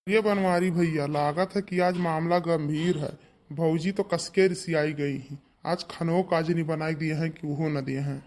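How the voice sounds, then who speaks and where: A man's voice: fairly high pitch, steady tone, measured pace, darkish tone, a little hiss or hum, close to an everyday voice. A man voicing a grumbling, quarrelsome villager in a cartoon comedy, with an Awadhi accent and a blunt rustic manner. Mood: teasing. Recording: echoey, roomy sound.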